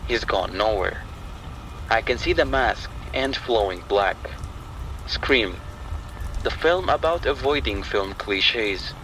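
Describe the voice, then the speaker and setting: A man's voice: lowish voice, pushed and bright, rough recording. A man performing a scripted first-person horror monologue in clipped, tense phrases. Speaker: horror story narrator. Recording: faint rain and ambient noise. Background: rain and thunder sounds.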